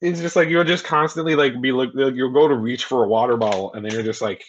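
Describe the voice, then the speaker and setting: A young man with a deep voice, co-hosting a casual podcast chat, rambling and self-mocking with lots of swearing. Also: fast talker, dull audio, slight background noise. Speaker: podcast co-host.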